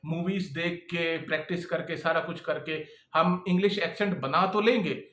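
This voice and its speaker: A man's voice: on the high side, normal speed, fairly bright tone, a little hiss or hum. Brief: male instructor, raised voice, casual lesson style, keeps asking "okay?", roomy echo. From Pakistan. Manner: conversational, keeps checking in with "okay?". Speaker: freelancing instructor and employer. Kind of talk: casual talking-head lesson.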